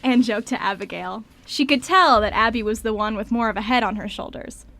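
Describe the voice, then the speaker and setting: A woman narrator reads a scripted story for a radio play. Her voice sits fairly high, and the sound is dry and close. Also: a little fast, sharp tone, no room sound.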